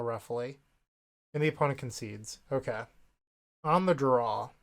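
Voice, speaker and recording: A young man with a slight cold, casually commenting on his own card game play, thinking aloud with wry asides, recorded at a home desk setup. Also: fairly high pitch. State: sniffly from a cold. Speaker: gaming content creator.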